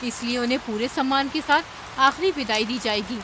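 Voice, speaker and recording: A woman's voice: on the high side, normal speed, noisy background, close to an everyday voice. A woman voicing a commanding, dutiful ant queen in a cartoon story, speaking in a solemn, declamatory way. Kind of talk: Hindi animated cartoon story acting.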